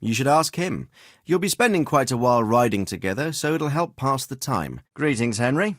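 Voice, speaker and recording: An actor playing a dignified, fatherly middle-aged nobleman in a medieval video game, speaking in formal, deliberate phrases. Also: medium pitch, big pitch swings, dry recording, clean studio sound. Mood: wry, informative, then proud, fatherly. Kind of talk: scripted video game dialogue.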